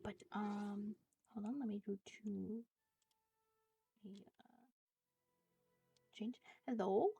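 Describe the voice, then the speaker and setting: A woman's voice: mid-range voice, fairly even intonation, slow pace, gravelly, pauses often, mellow, breathy edge, dry recording. A woman game streamer chatting casually and hesitantly, trailing off between thoughts. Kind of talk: casual livestream chat while gaming. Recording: dull lo-fi stream audio, music behind.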